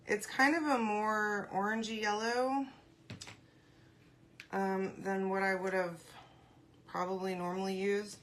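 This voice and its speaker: A woman hosting a casual craft live stream, congested and often clearing her throat, chatting and greeting viewers by name. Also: medium pitch, fairly even intonation, slow pace, long pauses, a little hiss or hum.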